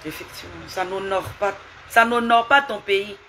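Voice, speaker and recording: A woman's voice: mid-range voice, moderate pace, fairly bright tone, slight background noise. A woman live streaming, lecturing and scolding someone with repeated rhetorical questions and asking viewers for hearts.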